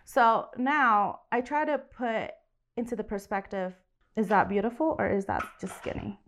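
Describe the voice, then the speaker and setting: A woman's voice: a little high, normal speed, a little hiss or hum. A woman from Indonesia co-hosting a podcast, talking candidly in casual chat and laughing easily. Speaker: podcast co-host.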